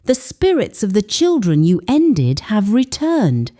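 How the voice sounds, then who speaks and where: A woman voicing a solemn old male herbalist in a folktale, gravely admonishing. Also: mid-range voice, huge pitch swings, measured pace, dark tone. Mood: fearful, grave.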